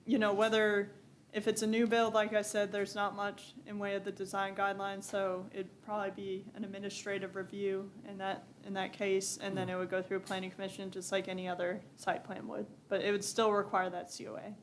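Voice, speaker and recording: Flat-voiced woman explaining procedure. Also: mid-range voice, a little fast, hardly any pauses, slight background noise. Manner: plain, explanatory, agreeable. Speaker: planning staff presenter. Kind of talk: public meeting remarks. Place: public meeting room.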